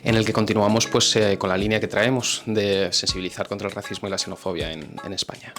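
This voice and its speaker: Fast Spanish male host over music. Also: fairly low pitch, little pitch movement, fairly bright tone. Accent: Spanish from Spain. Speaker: podcast host introducing guests. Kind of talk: introductory presentation to camera or microphone. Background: music bed.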